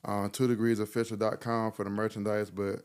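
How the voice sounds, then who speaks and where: A man's voice: on the low side, little pitch movement, a little fast, darkish tone, clear, resonant tone. A young man, a rapper and business owner, talking as a podcast guest in a laid-back, slangy way with many fillers. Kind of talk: casual chat.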